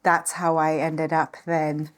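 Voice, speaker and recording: A woman's voice: deep voice, monotone, slow pace. A woman with an American accent explaining things conversationally as an interview guest, in an informal way with plenty of "like" and "sort of". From United States. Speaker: therapist and relationship consultant, interview guest.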